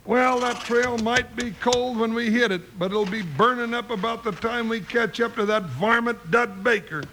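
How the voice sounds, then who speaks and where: An actor playing a folksy, jittery comic cowboy sidekick with a country drawl in a 1950s western radio drama. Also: male voice, very high voice, moderate pace. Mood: resolute. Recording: noisy old western radio recording.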